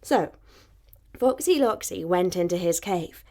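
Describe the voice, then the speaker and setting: A woman storyteller narrating a children's fairy tale in an expressive, rhythmic way. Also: on the low side, unhurried.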